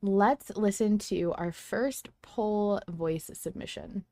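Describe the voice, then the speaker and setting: A woman's voice: mid-range voice, slow pace, dark tone, no echo. A woman hosting a podcast in a casual, chatty style. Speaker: podcast host.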